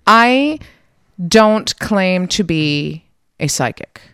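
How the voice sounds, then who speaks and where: A woman's voice: lowish voice, expressive, very slow, fairly bright tone, smooth, dry recording. Woman co-hosting radio, chatty and kinda meandering, close dry mic. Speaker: radio show co-host and tarot reader. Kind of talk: casual conversational explaining. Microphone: close studio radio mic.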